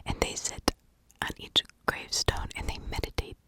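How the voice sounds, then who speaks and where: A woman telling a true crime story as ASMR in a breathy whisper, in short, halting phrases with pauses.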